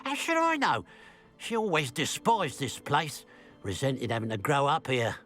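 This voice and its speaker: An actor playing a bitter, suspicious village shopkeeper in a video game, grumbling with dramatic sarcasm. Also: male voice, fairly high pitch, huge pitch swings, measured pace, a bit bright, heavily acted. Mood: indignant. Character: bitter, suspicious village shopkeeper man, resentful of his sister.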